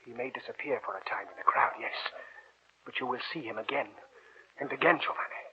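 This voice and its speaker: An actor playing a grave older professor who gives ominous, emphatic warnings in a 1940s radio drama. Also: male voice, medium pitch, brisk, close to an everyday voice. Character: grave, foreboding older male professor warning his student. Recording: muffled old radio recording, faint noise.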